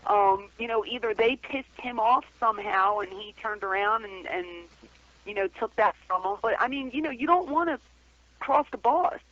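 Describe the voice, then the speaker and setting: A woman's voice: fairly high pitch, moderate pace, fairly bright tone. Dull phone-line woman, blunt radio talker. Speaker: guest call-in co-host. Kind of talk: casual wrestling commentary chat. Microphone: telephone call-in.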